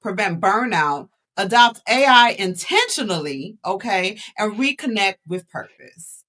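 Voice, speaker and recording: Lively woman co-host hyping her guest. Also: mid-range voice, a little slow. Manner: lively hype, playful slang. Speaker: podcast co-host. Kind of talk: casual interview.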